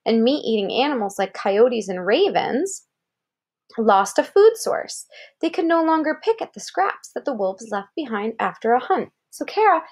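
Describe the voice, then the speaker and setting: A woman's voice: on the high side, big pitch swings, normal speed, darkish tone. An American woman reading a children's article aloud like a parent, expressively, with explanatory asides and questions to check understanding.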